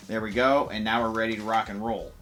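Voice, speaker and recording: A man's voice: lowish voice, steady tone, fast, sharp tone. Casual man reviewing toys, music behind. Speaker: toy blaster reviewer. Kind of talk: casual product review to camera.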